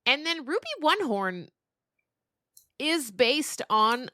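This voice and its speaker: A woman being interviewed as a novelist, telling stories casually in an animated, rambling way with emphatic descriptions. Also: high-pitched, slow pace.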